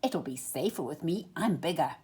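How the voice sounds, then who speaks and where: A woman's voice: deep voice, lively intonation, normal speed, dark tone, husky, full character voice. A woman voicing a sly, troublemaking older boy from a children's storybook, coaxing and scheming like a trickster.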